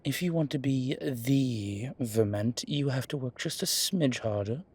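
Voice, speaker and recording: A man playing a fond, teasing vampire deacon in an ASMR roleplay, with a breathy voice and theatrical, sardonic phrasing. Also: medium pitch, lively intonation, a little slow, darkish tone. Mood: dry teasing. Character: male vampire deacon, fond, teasing, exasperated, protective, dryly witty. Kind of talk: ASMR roleplay audio drama addressed to the listener.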